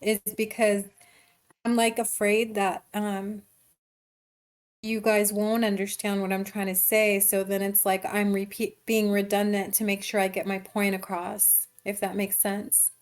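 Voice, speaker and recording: A woman screenwriter talking casually and haltingly about her writing in an online meeting. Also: medium pitch, flat delivery. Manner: hesitant, informal, halting explanations. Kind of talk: casual discussion in online table read.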